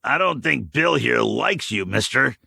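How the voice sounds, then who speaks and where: Audiobook part: a man doing a steady folksy old-timer, gravelly and husky, slow and deliberate. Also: medium pitch, no room sound, pitched above the performer's own voice, exaggerated voice. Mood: wry calm.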